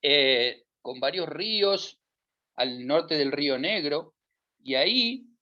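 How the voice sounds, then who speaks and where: A man's voice: fairly high pitch, bright, forceful tone. A middle-aged man from Uruguay with a Uruguayan Spanish accent, speaking emphatically on a discussion panel and piling up lists of verbs. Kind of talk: discussion talk. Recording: dull lo-fi audio.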